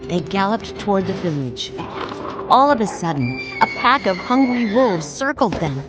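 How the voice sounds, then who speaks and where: A woman narrating a children's read-along storybook in a clear, expressive way. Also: medium pitch, moderate pace. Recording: music playing behind.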